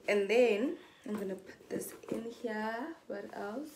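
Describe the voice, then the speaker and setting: A woman's voice: mid-range voice, background noise. A young woman from South Africa chatting casually to camera in a small indoor room, showing what she packs in her bag. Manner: chatty, slow and relaxed, mixes in Setswana phrases. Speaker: vlogger. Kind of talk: casual vlog chat.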